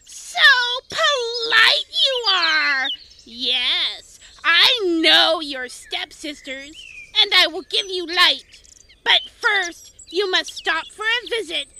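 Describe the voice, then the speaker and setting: A woman playing a menacing, bossy old witch in a fairy tale audio drama, with a shrill, theatrical voice and archaic, drawn-out commands. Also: lively intonation, pushed and bright, slight background noise, heavily acted.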